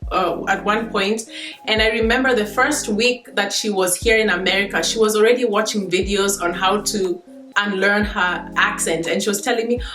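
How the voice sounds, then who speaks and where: A woman's voice: medium pitch, steady tone, moderate pace, a bit bright. Chatty Kenyan woman vlogging. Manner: chatty, laughs easily, many fillers. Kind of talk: casual chat to camera. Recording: echoey room with faint guitar music.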